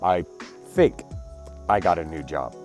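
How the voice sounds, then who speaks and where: A man, congested and clearing his throat, chatting casually in a daily vlog and apologizing often. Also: sits low, measured pace, darkish tone, faint music behind. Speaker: vlogger.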